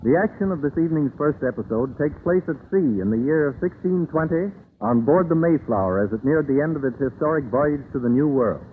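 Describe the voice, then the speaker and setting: A man giving formal, measured, declamatory scripted announcements in the style of 1930s radio. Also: a little high, animated, background noise. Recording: tinny band-limited old radio broadcast.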